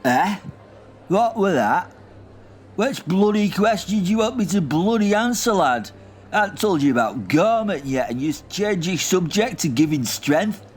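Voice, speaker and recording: Gruff old war veteran, played by a man, rambling and cursing, slightly high voice, faint noise behind. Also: big pitch swings, measured pace. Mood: cantankerous. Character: grumpy old male northern war veteran. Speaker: comic character.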